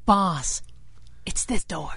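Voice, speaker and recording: Plucky masked heroine, 1930s radio style: slangy, cheeky, very varied pitch. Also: female voice, expressive, slow pace, vocal fry, loud music behind the voice, full character voice. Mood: alert, cheeky. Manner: sassy, slangy. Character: wisecracking plucky female masked crimefighter sidekick.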